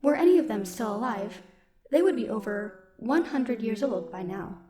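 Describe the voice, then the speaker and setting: A woman playing a stoic, dutiful military robot in an audio roleplay, speaking in a formal, measured, protective way. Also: a little high, animated, close to an everyday voice. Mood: subdued, solemn. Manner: formal, measured, literal wording. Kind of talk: scripted audio roleplay, speaking softly to one listener.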